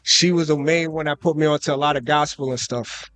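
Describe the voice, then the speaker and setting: A man's voice: on the high side, fairly quick. Easygoing young man on a radio interview. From United States. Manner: relaxed, loose, with many fillers and "you know what I'm saying". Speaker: guest rapper and cameraman. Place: live concert radio booth.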